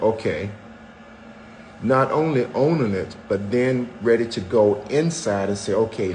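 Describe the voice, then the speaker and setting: A man's voice: mid-range voice, a little mellow, slight background noise, low-quality recording. A man in his seventies chatting candidly on a podcast, telling stories with wry, self-mocking jokes. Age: 70s. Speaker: podcast co-host, husband. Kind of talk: casual chat.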